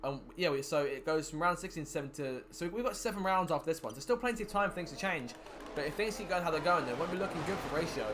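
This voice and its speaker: A man commentating a sim racing league, calling the action excitedly while his voice is wearing thin. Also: on the high side, quick pace, background noise. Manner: excited play-by-play, rising with the action. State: losing his voice. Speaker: lead commentator.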